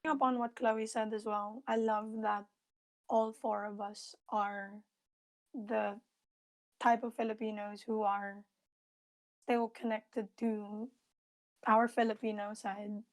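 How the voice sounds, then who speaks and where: A woman co-hosting a casual panel podcast, speaking in a measured, even way. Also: medium pitch, poor audio. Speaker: podcast co-host.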